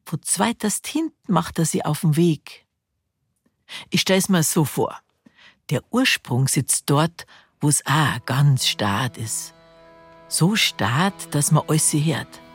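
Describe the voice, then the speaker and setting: A woman's voice: deep voice, expressive, slow pace, slightly rough, no room sound, soft background music, exaggerated voice. A woman playing an intimate, earnest storyteller with a Bavarian accent, narrating a drama. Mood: hushed, intense.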